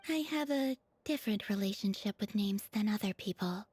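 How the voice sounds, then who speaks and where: An actress voicing an enigmatic, gentle young maiden in a video game, soft and breathy, with a calm, reflective delivery. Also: female voice, medium pitch, normal speed, dry recording. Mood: wistful.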